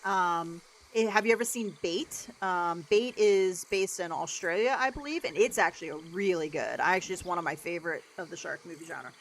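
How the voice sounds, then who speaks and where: Young woman streaming, deeper voice, rambles w lots of likes and ums. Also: fairly quick. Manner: rambling, chatty, many "like" and "um" fillers. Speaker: livestreamer. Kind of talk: casual chat while gaming.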